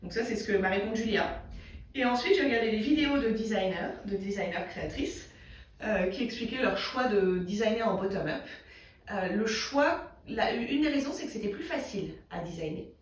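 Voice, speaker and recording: A woman's voice: mid-range voice, lively intonation, normal speed. A woman hosting a knitting video in a casual, rambling chat, with a slightly congested throat and lots of fillers. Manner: rambling, many fillers and restarts. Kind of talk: casual chat thinking aloud. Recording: echoey room, mic far away.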